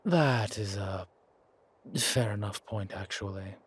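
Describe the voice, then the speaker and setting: A man playing a sardonic, weary vampire in an audio roleplay, speaking in a measured, formal, wry way. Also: on the low side, breathy edge. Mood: musing, calm. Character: sardonic, weary male vampire, protective of a little companion. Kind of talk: scripted audio roleplay drama.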